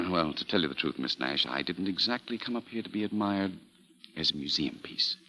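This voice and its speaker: An actor playing a suave gentleman of fifty, formal and polite, in 1940s radio drama style. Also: low voice, a little fast, low-quality recording. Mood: courtly. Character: suave, courtly fifty-year-old gentleman, an aging charmer. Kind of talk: 1940s radio acting, with first-person narration. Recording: vintage 1940s radio broadcast.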